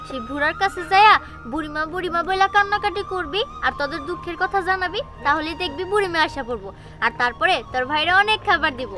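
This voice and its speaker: A woman voicing a chatty, helpful young village girl who explains things reassuringly in a Bengali cartoon. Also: very high voice. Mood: instructing.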